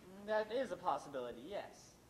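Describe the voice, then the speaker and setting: A man's voice: high-pitched, expressive, a little fast. A man playing a jaded, envious husband and playwright in an amateur stage play, sardonic and theatrically wordy. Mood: envious, scheming. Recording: roomy, echoey stage recording with noise.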